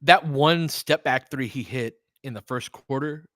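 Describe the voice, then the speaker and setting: Casting: American male sports host, mid-pitched, conversational and emphatic live analysis, dry studio-like sound. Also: unhurried, pushed and bright, no echo. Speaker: sports show host and game commentator. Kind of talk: casual live basketball analysis, chatting with listeners.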